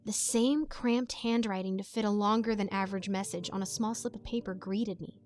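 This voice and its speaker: A woman reading a scripted story as its narrator, with an expressive storytelling delivery. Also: medium pitch, moderate pace, faint music behind.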